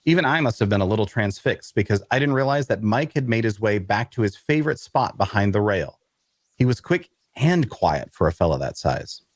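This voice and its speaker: A man's voice: fairly low pitch, no echo, lightly acted. A man playing a wry, observant first-person narrator, reading descriptive, colloquial narration for a fantasy audio drama. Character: observant, wry male storyteller in a fantasy tavern.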